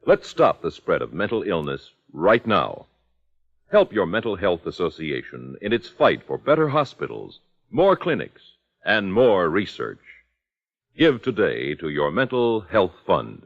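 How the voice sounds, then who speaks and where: Deep, very animated male radio announcer. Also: a little slow. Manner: urgent, emphatic. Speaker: public service announcer. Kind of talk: 1950s radio announcement, scripted. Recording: dull 1950s radio recording.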